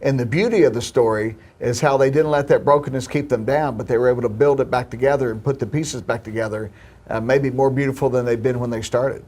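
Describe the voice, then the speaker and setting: A man's voice: mid-range voice, fairly even intonation, quick pace, a little mellow. Earnest film producer man. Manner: earnest, hopeful, some restarts. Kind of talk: interview answers.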